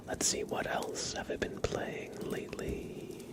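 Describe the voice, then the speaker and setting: A man speaking in a soft, breathy whisper, chatting casually with viewers as an ASMR livestream host. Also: a little slow.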